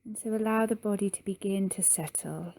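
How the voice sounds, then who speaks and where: A woman leading a guided yoga nidra meditation in a soft, soothing manner with long pauses. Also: mid-range voice, no echo, poor audio.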